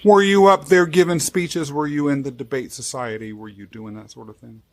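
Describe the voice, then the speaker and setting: A man's voice: fairly high pitch, fairly even intonation, moderate pace. A man hosting a podcast interview, asking questions in an enthusiastic, playful way. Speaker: podcast host.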